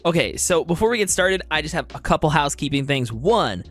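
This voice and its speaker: A man's voice: fairly high pitch, lively intonation, brisk, fairly bright tone. Rambling, chatty gaming YouTuber man. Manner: rambling, chatty, lots of fillers and restarts. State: a little sick, voice slightly off. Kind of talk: casual commentary on a trailer. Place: home, storm outside.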